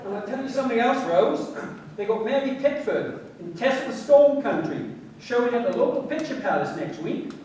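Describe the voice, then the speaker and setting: A man's voice: very high-pitched, expressive, normal speed, a little mellow. An actor playing an eager male admirer courting a barmaid in a radio drama. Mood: eager, wooing. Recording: very echoey, distant, faint noise.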